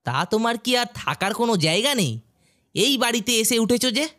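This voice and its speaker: A man's voice: very high voice, animated, a little fast, bright, forceful tone, exaggerated voice. A man playing an older, inquisitive village uncle who talks in a familiar storytelling way in a horror cartoon.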